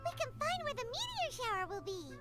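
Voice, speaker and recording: A woman's voice: extremely high pitch, wildly swooping pitch, brisk, exaggerated voice. A woman voicing a tiny, chirpy fairy-like girl companion who calls herself by name. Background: game music.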